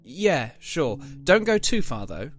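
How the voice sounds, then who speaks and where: A man's voice: a little high, very animated, slow pace, soft background music. Man playing an exasperated father of a young child. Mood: easygoing. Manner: exasperated, parental. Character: divorced father, chiding and anxious with young daughter. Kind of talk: audio drama acting.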